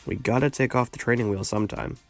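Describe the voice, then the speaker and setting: A young woman playing a deadpan, unbothered student friend with dry, nonchalant delivery in an audio drama. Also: rumbling low, fast talker, no room sound, soft background music.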